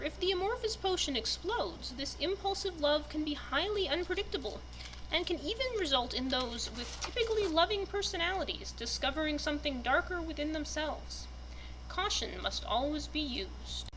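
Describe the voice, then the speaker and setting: A woman giving a deliberate, storybook-like dramatic reading of a written fantasy text. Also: high voice, background noise. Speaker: reader presenting a fantasy book.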